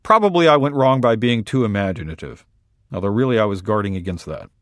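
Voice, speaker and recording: A man reading a short story aloud in a wry, deadpan first-person voice. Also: on the low side, fast talker, no room sound, studio quality. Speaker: reader.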